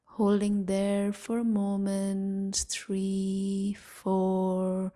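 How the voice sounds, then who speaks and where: A woman leading a guided meditation in a soothing, gentle manner, leaving long pauses between short phrases. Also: mid-range voice, monotone. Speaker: meditation guide and series host.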